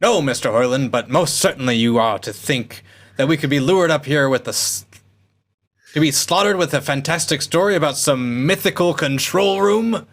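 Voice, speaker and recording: Man playing a scornful military lieutenant, high-pitched. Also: normal speed, fairly bright tone. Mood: sardonic. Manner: commanding, sneering, theatrical. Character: scornful, trigger-happy military lieutenant barking orders at patrol. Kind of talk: radio play cold read.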